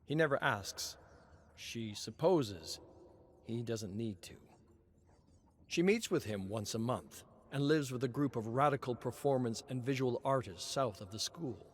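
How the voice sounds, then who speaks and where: A man narrating a story in a measured, expressive reading. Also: medium pitch, slight background noise. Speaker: lore narrator.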